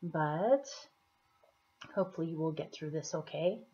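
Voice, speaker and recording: A woman with a slightly hoarse, scratchy throat, giving a casual tarot reading in an unhurried, deliberate manner with pauses as she explains the cards. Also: sits low, warm tone. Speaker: tarot reader. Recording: dull, muffled lo-fi audio.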